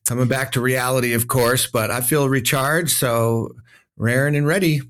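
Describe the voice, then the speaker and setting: A man's voice: mid-range voice, fairly even intonation, moderate pace, a bit bright. A man, a financial advisor co-hosting a podcast, talking in an upbeat, chatty way with plenty of fillers. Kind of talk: podcast conversation.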